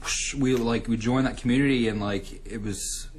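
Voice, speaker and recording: A man who co-hosts a campus radio show, chatting casually as a guest and talking in an upbeat, relaxed way full of fillers. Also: mid-range voice, normal speed, noisy background. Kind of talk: casual interview chat.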